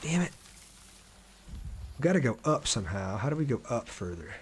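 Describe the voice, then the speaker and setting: Male game streamer, mid-pitched voice, casual play-by-play, thinks aloud and talks to viewers. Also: big pitch swings, moderate pace, slight background noise. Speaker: livestream gamer, host. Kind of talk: casual livestream gameplay commentary.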